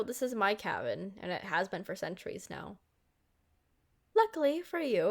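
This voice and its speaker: A woman voicing a centuries-old, doting and possessive vampire girlfriend in an intimate, coaxing ASMR roleplay. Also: mid-range voice. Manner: coaxing, affectionate, speaking directly to a lover.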